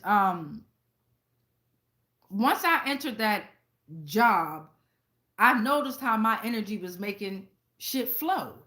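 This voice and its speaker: A woman's voice: on the high side, a bit bright. A woman host giving a casual tarot reading with personal stories, speaking bluntly with lots of swearing and checking in with her viewers. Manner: conversational, addresses viewers directly with frequent check-ins. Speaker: tarot reader and channel host.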